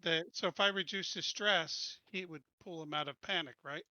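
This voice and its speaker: Sharp, higher-pitched man playing a tabletop game. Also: normal speed, dry recording, dull audio. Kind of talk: casual game chat.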